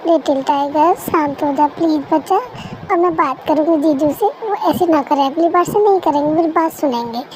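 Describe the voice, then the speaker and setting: A woman's voice: very high voice, steady tone, takes it slowly, slight background noise, rough recording. A woman voicing a caring young female best friend who talks in a soothing, coaxing way, in an amateur fan dub.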